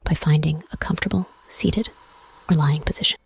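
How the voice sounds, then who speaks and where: A woman softly guiding a meditation in a whispery, husky voice, soothing and measured, with pauses between phrases. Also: deep voice, fairly even intonation, dry recording. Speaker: meditation guide. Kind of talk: guided meditation, soft scripted narration.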